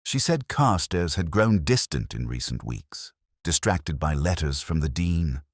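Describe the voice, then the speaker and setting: A man playing a brooding, world-weary police inspector who narrates his case in a measured, sombre, wry literary style. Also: rumbling low, lively intonation, no room sound, clean studio sound, exaggerated voice. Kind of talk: literary first-person detective drama narration.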